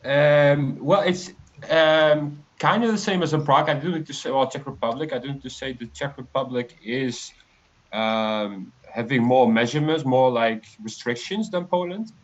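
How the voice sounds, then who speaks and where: A man in his twenties from the Netherlands joins a live stream as a guest over a video call. His voice is mid-pitched, and he talks in a relaxed, hesitant way full of fillers. The audio is slightly muffled and lo-fi. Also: normal speed. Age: 20s. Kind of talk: casual interview chat.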